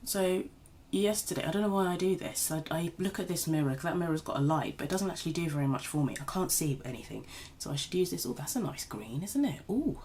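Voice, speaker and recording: A woman's voice: on the low side, lively intonation, fast talker, hardly any pauses, darkish tone, a little hiss or hum. Slightly nasal woman, chatty vlog. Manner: rambling, chatty, self-deprecating. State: recovering from a cold. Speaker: beauty vlogger. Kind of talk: casual makeup tutorial chat. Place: home, at a makeup desk.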